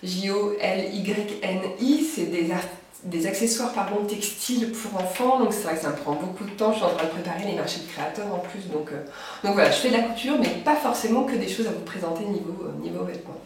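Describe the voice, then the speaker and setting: A woman's voice: mid-range voice, brisk, a little mellow, distant mic, slight background noise. Hesitant woman with a cold in an echoey room. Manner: hesitant, many fillers, self-deprecating. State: has a cold. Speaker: knitting and sewing podcaster. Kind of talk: casual chat.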